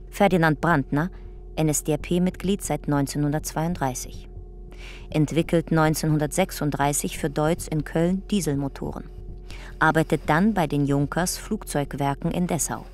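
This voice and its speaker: A woman's voice: deep voice, a little mellow, close to an everyday voice. A woman narrating a radio drama in documentary style, sober, clipped and matter-of-fact.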